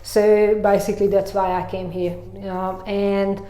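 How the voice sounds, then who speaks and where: A woman's voice: medium pitch, flat delivery, measured pace, dark tone. A woman from Hungary with a Hungarian accent, a mountain bike coach chatting casually in an interview, laughing often and filling her talk with "you know". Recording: echoey room.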